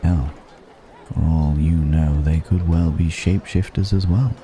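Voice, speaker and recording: A man's voice: extremely low pitch, fairly even intonation, a little slow, warm tone, faint music behind. A man playing a wry, curious ancient dragon passing as a stranger, musing in formal, archaic phrasing in an ASMR roleplay. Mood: teasing, amused. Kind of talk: ASMR roleplay audio drama.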